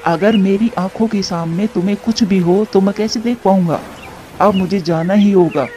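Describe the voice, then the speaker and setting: A man's voice: sits high, normal speed, mellow, slight background noise, close to an everyday voice. A man voicing a brave, protective father pigeon in a moral cartoon, earnest and melodramatic. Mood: determined, emotional. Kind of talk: Hindi moral cartoon dubbing. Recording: dull lo-fi sound with music.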